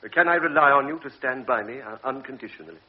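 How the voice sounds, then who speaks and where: An actor playing a grave older doctor, speaking in a measured, lecturing way in a radio drama. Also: male voice, medium pitch, close to an everyday voice. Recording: dull old radio recording with faint hiss.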